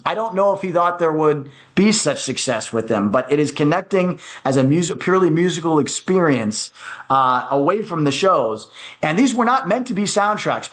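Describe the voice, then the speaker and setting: Higher-pitched American man, thinking aloud. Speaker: guest, music producer. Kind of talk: conversational interview answers.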